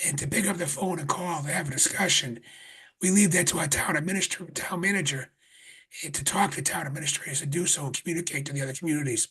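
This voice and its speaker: A man chairing a town board meeting in a conference room, speaking earnestly with restarts, his voice getting raspy. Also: fairly high pitch, quick pace, a bit bright. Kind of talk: public meeting remarks.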